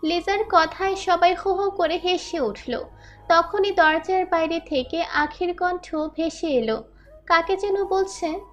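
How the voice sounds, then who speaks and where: A woman with a very high voice narrates a romantic story from a script. Faint music plays underneath her narration. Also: slow.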